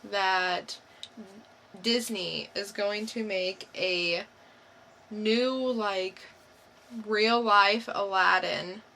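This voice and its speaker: A woman's voice: medium pitch, very slow, gravelly, long pauses, bright, forceful tone, a little hiss or hum. A woman co-hosting a podcast in casual, informal chat, often in short replies. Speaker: podcast co-host.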